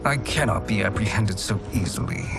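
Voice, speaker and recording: A man playing a cruel, scheming sorcerer in a video game, with a pompous, theatrical, measured delivery. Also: on the low side, creaky, music in the background. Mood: smug, cruel. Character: scheming villainous sorcerer, smug, cruel, haughty. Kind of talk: video game cutscene acting.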